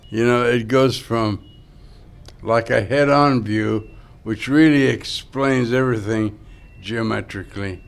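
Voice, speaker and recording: An older man whose first language is Greek, a sculptor musing slowly and thoughtfully about his work. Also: fairly low pitch, gravelly. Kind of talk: interview reflection.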